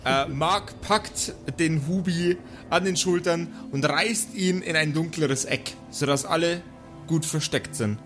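A man with a fairly high voice acts as game master, narrating an improvised tabletop adventure. He keeps it playful and colloquial, with clear music playing behind him. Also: slow pace, fairly bright tone.

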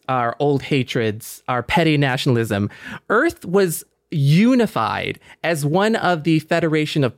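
A man co-hosting a podcast, speaking in a thoughtful, conversational way with a measured, earnest and optimistic manner. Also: medium pitch, no room sound, studio quality. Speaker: podcast co-host.

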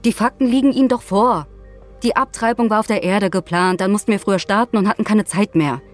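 A woman's voice: mid-range voice, fairly quick, faint music behind, lightly acted. A woman playing an outspoken astronaut in an audio drama, blunt and argumentative, with a sarcastic edge. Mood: concerned, insistent. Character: outspoken, indignant female astronaut defending her crewmate. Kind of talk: naturalistic audio drama dialogue.

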